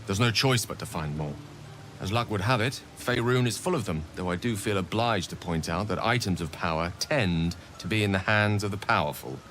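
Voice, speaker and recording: A deep-voiced, eloquent wizard. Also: male voice, moderate pace, fairly bright tone, a little hiss or hum. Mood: relieved, grave, then earnest, persuasive. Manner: eloquent, formal, wordy. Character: courteous, learned male wizard with a grave secret. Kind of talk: video game dialogue acting. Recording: music and rain behind the voice. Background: music, rain.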